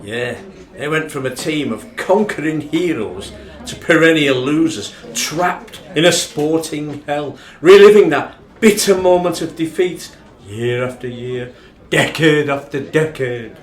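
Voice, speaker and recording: An actor with a Northern English accent playing an impassioned rugby fan in a radio play, brooding over an old defeat. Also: male voice, fairly high pitch, animated, unhurried. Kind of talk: dramatic radio play retelling. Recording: roomy, echoing sound.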